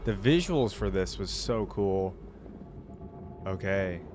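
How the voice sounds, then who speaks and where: A young man hosting a reaction video, thinking aloud casually and breaking into excited exclamations. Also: deep voice, slow pace. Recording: voice over playing video music.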